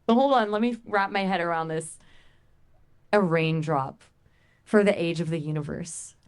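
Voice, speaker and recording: A woman's voice as a conversational AI assistant, chatting casually in a playful, expressive, colloquial way. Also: on the low side, moderate pace, clear, resonant tone.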